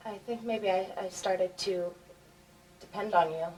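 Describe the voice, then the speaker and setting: A woman's voice: medium pitch, a little slow, darkish tone, natural voice. An actress playing a shy, stammering young woman. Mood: tender. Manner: hesitant, stammering, apologetic. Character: shy, earnest young woman, easily embarrassed. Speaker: woman apologizing to a neighbor. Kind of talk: film dialogue. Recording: distant mic with faint background noise.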